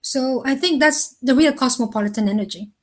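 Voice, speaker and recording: A woman answering interview questions in a thoughtful, earnest way, with slow, deliberate phrasing. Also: high voice. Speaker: interview guest.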